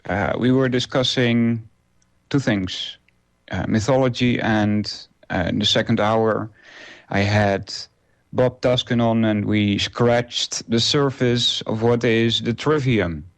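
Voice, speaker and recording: A man's voice: on the low side, fairly even intonation, takes it slowly. A man in his forties, a native Dutch speaker, hosting a solo internet radio show and talking it through unscripted, with many filler uhs and restarts. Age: 40s.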